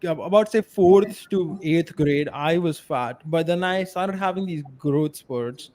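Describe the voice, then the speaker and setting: A man from India with a fairly high voice, a podcast host chatting casually and filling his talk with "you know" and "like". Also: a little slow, a little mellow, slight background noise. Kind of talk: casual interview conversation.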